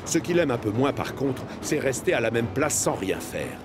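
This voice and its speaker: A man's voice: mid-range voice, very animated, moderate pace, noisy background, lightly acted. A man with a standard French accent narrating a children's cartoon in a scripted storytelling style. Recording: music behind the voice.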